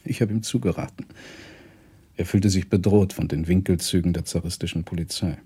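An actor playing a blunt, proud émigré professor in a German radio play, deliberate and dryly reproachful. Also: male voice, low voice, fairly even intonation, warm tone, slightly rough. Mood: firm, indignant.

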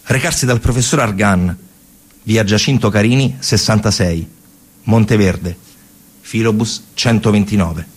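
A man's voice: on the low side, a little slow. A man performing a theatrical narrative monologue, telling the story emphatically in short, colloquial, repeated phrases. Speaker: stage actor and narrator.

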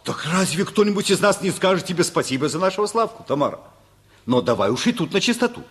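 A man's voice: high-pitched, big pitch swings, fast. An actor playing a principled, blunt family man who reasons insistently in a stage-style radio play. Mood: earnest, forceful.

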